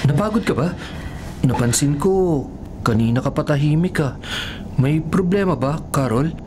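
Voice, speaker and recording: A man's voice: a little high, animated, moderate pace, background noise, natural voice. An actor playing a smitten, easily frightened young man in a Filipino radio horror drama. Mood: tender. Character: smitten young man, birthday celebrant, terrified by a monster.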